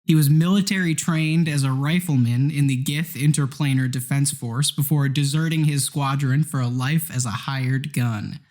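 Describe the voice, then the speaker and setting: A man's voice: medium pitch, steady tone, moderate pace, hardly any pauses, a little mellow. Man chatting about his game character. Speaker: player on a tabletop role-playing podcast. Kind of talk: casual chat, describing a character.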